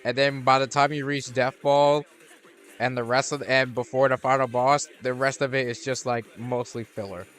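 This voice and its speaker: A man's voice: mid-range voice, flat delivery, moderate pace, a bit bright, soft background music. Laid-back man musing over gameplay. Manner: rambling, many restarts and fillers, laid-back. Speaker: gaming channel host. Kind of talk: casual gameplay commentary.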